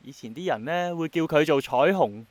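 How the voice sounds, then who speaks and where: A man acting an idealistic young Hongkonger in a radio play. His fairly high voice carries a Hong Kong Cantonese accent and a passionate, persuasive earnestness. The sound is very dry, like a studio. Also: lively intonation, moderate pace, dry recording, lightly acted. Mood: passionate, wistful. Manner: passionate, persuasive, romantic-minded. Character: earnest dreamy young man obsessed with bringing back rain.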